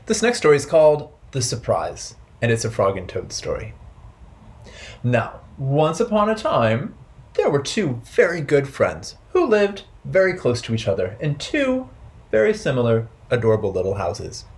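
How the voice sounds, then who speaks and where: A man in his thirties telling stories and rhymes to small children as a storytime host, in playful, simple, deliberate phrasing. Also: mid-range voice, huge pitch swings. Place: bright indoor room with wooden floor.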